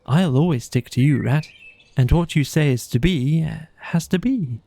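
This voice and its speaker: A man's voice: on the high side, normal speed, gravelly, warm tone. A man voicing a meek, loyal mole in a children's audiobook, hesitant and eager to please. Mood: loyal, wistful.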